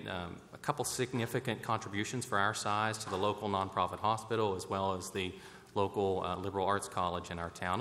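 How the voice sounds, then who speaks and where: An American man giving formal, somewhat halting remarks at a public roundtable as a bank president. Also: lowish voice, a bit bright. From West Virginia, United States. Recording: echoey hall, noticeable background noise.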